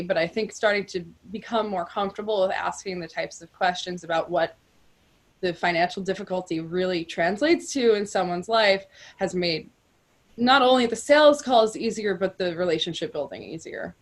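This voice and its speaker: A woman's voice: on the low side, normal speed. A woman guest in a casual interview, talking frankly and animatedly, often laughing. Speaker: coaching client, guest.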